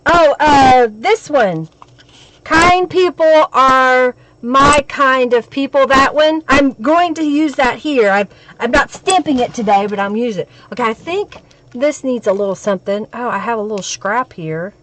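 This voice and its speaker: Woman, crackly hoarse voice, slightly high, unhurried, chatty live-stream crafter. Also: fairly bright tone. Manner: chatty, talks to viewers while she works. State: hoarse from a cold.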